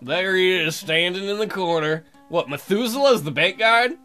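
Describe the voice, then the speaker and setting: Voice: mid-range voice, expressive, normal speed, sharp tone, faint music behind. A let's play host reading game text aloud with bawdy, joking, playful asides.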